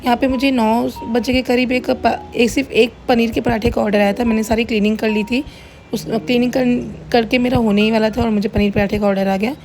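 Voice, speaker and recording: A middle-aged woman narrating a casual home-kitchen vlog in a plain, everyday way, her voice slightly hoarse as if under the weather. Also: on the high side, little pitch movement, a little fast, a little mellow, poor audio. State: mildly unwell, voice a little hoarse. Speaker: home cook running a cloud kitchen, vlogger. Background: background music.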